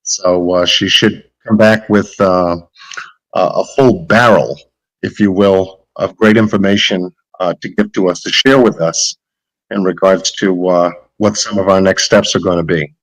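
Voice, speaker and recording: Male creator, low-ish hoarse voice from a cold, halting delivery. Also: fairly even intonation, low-quality recording. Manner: halting, with many "uh" fillers. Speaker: content creator giving an update. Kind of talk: casual spoken update.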